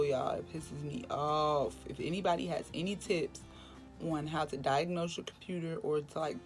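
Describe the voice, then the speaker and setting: A woman in her late twenties with a raspy voice, chatting candidly to her viewers in a casual morning vlog. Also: deep voice. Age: late 20s. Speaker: vlogger. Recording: music playing behind.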